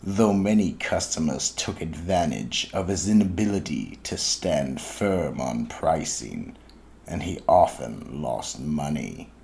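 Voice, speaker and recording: A man narrating a radio mystery drama in an ominous, deliberate storytelling manner. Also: a bass voice, expressive, vocal fry, a little mellow.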